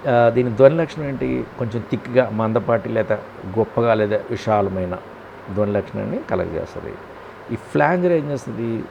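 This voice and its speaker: A man with a Telugu accent giving a step-by-step software tutorial, posing questions and answering them himself with frequent check-in tags. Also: medium pitch, expressive, normal speed, creaky, highs cut off, noisy background. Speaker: audio editing tutor.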